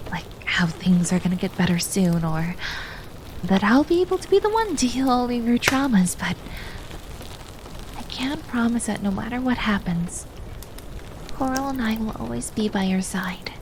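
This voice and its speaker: A woman's voice: medium pitch, animated, normal speed, a little hiss or hum, natural voice, low-quality recording. A woman playing a prim, caring twin maid in an ASMR roleplay, often whispering, with formal wording and a teasing, easily flustered temper. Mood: tender, soothing. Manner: soft, formal wording, stammers when embarrassed.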